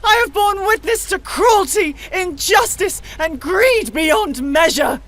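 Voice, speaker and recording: A woman's voice: extremely high pitch, animated, slow, fairly bright tone, pitched above the performer's own voice, full character voice. A woman voicing a desperate, broken refugee woman who cries out and pleads for salvation in a fantasy game story. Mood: desperate pleading. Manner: pleading, crying out.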